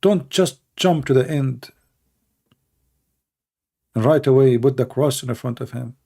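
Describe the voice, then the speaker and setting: Man, Arabic accent, gravelly voice, mellow dark tone, halting fillers, blunt. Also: medium pitch. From Middle East. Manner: halting, repetitive phrasing with frequent fillers; blunt and emphatic. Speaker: debate show host. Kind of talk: live-stream chat answering viewer questions.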